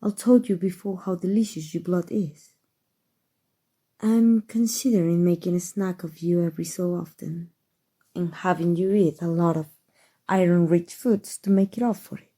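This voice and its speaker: A woman with a Mexican accent voicing an elegant, centuries-old female vampire in an ASMR roleplay, doting and softly formal, with a breathy voice. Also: fairly low pitch, steady tone. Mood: tender, gracious. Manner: soft, tender, formal and unhurried endearments.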